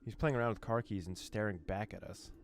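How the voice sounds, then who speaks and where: A young man with a low, deep voice reads a horror visual novel aloud to his viewers. He breaks off from the text now and then with quick reactions and short laughs. A faint hum of game sound sits behind him. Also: steady tone. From former USSR. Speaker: let's play host.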